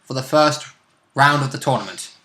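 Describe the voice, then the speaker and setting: A man's voice: mid-range voice, normal speed, bright, forceful tone. A man co-hosting a gaming vidcast, chatting casually and analytically about game rules.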